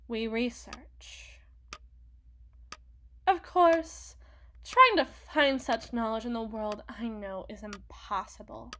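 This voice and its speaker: Casting: woman to play a cool, scheming female demon for ASMR audio drama; formal, unhurried delivery over noticeable background noise. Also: on the high side, fairly bright tone. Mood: quiet, pensive. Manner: slow, formal, deliberate, theatrical phrasing. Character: cool, possessive female demon proposing a marriage contract. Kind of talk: ASMR roleplay, scripted dramatic monologue.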